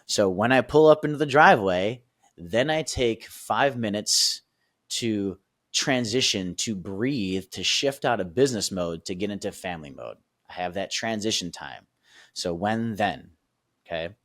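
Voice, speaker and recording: Male performance coach, deep voice, dry close recording, lively teaching tone. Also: measured pace, dry recording. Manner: energetic, repeats "right?" and "okay?" often. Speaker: sales and performance coach, guest. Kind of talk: casual teaching explanation.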